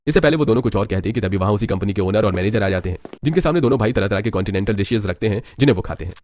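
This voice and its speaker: A man's voice: lowish voice, expressive, rapid-fire, a little mellow. A man narrating a scripted bedtime story as a storyteller. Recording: music behind the voice. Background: background music.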